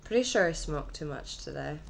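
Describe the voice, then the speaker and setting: A woman vlogging casually from her apartment with a slightly nasal, husky voice, rambling and trailing off mid-thought. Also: deep voice, lively intonation, a little fast, a little hiss or hum.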